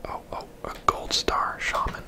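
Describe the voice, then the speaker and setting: A person whispering casual, laid-back ASMR commentary over a video game, in a breathy, unvoiced voice. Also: unhurried. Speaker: host, gamer.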